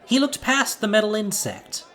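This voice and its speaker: A man narrates an audio drama in a dramatic storytelling style. His pitch moves expressively up and down as he reads the scripted action. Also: big pitch swings, normal speed, pushed and bright.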